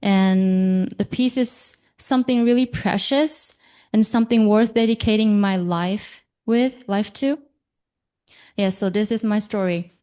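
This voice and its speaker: A young woman from Japan, speaking as a panelist at a conference in a slow, halting way, with fillers and self-corrections. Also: medium pitch, mellow, clean tone.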